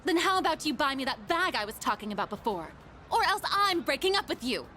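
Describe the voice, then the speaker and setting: A woman's voice: sits high, sing-song, a little fast, sharp tone, soft background music, exaggerated voice. A woman voicing a bossy, demanding young girlfriend in a video game, accusing in manner. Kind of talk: video game character dialogue.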